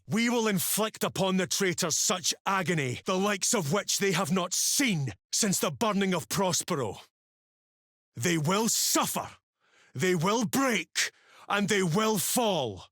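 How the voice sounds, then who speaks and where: An actor playing a stern space marine captain in a war video game, giving clipped, authoritative orders in a formal military manner. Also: male voice, on the high side, fairly bright tone, no room sound, clean studio sound. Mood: grim, defiant. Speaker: military commander. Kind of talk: dramatic video game voice acting.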